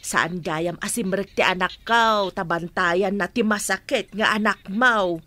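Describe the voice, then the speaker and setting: A bossy filipino mother-in-law, middle-aged, sharp bright voice, easily irked, radio drama style. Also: medium pitch, measured pace, no room sound. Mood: matter-of-fact complaining.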